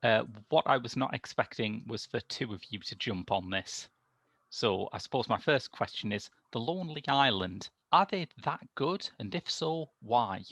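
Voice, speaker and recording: A man in middle age hosting a film podcast in a wry, deadpan manner, moving between prepared introduction and panel chat. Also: medium pitch, moderate pace, no echo. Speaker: podcast host and film critic. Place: small room indoors. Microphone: headset.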